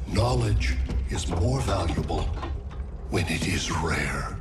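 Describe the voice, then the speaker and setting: A man voicing a cold, superior alien android conqueror in a video game, deliberate, menacing and imperious. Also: sits low, expressive, roomy sound, loud music behind the voice. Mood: cold menace. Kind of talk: video game cutscene acting.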